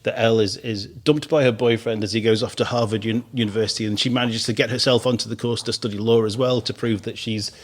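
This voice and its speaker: A man's voice: lowish voice, fairly even intonation, quick pace, hardly any pauses. A man in his fifties hosting a radio podcast in a studio. He talks casually, laughs easily and often restarts his phrases. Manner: chatty, frequent laughs and restarts.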